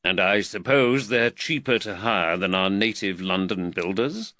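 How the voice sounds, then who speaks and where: A man reading an audiobook voices a calm, dry-witted male detective in a plain, measured voice close to his own. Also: lowish voice, no room sound.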